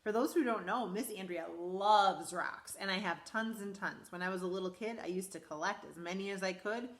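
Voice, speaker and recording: A school principal, a woman, reading a picture book aloud to children in a lively, expressive way. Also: medium pitch, moderate pace, roomy sound. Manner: animated, expressive storytelling to children.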